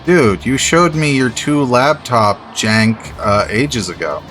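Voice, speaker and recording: A man's voice: medium pitch, slow pace, fairly bright tone, soft background music. A man in casual livestream chat, laid-back and matter-of-fact, free with swear words.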